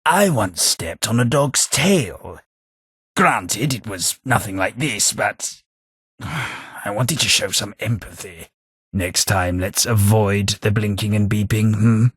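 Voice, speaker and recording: A man voicing a posh ghoul gentleman companion in a video game, dry, sardonic and fussy. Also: on the low side, slow pace, husky, dry recording, exaggerated voice. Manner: dry, sardonic, prim understatement.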